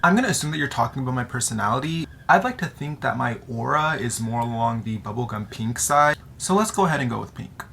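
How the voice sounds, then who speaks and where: Bright, creaky young American man. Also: mid-range voice, lively intonation, fast, few pauses, a little hiss or hum. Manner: playful, joking, slangy. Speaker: vlogger answering audience questions. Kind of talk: casual chat to camera.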